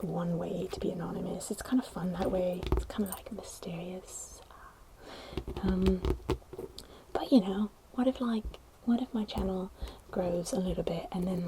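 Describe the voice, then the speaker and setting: A young woman with a put-on posh British accent, whispering a gentle, rambling ASMR chat into a close microphone. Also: on the low side, noisy background. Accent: put-on posh British English. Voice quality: whispered, hushed. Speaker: ASMR creator. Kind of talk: soft-spoken ASMR chat. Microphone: close sensitive ASMR microphone.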